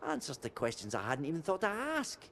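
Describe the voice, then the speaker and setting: A man's voice: fairly high pitch, huge pitch swings, brisk, creaky, full character voice. A man playing a flippant, sardonic professor adventurer, in a war game. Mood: wry, resigned. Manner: sardonic, chatty, exclamatory. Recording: loud battle and engine noise.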